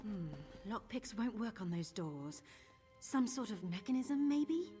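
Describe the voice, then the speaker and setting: A woman's voice: medium pitch, animated, measured pace, warm tone, faint music behind, close to an everyday voice. A woman playing an eager, nervy young female thief in a video game, chatty and conspiratorial. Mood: excited, curious. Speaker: game character, thief companion.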